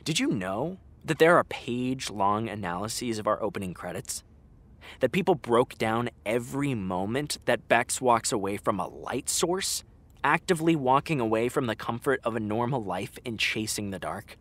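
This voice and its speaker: A frank young man in a naturalistic audio drama. His mid-pitched voice moves around freely, and he speaks in an earnest, conversational way, like a friend who has held his tongue too long. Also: expressive, moderate pace, a bit bright. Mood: earnest, pointed. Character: frank, exasperated young male actor confronting his best friend.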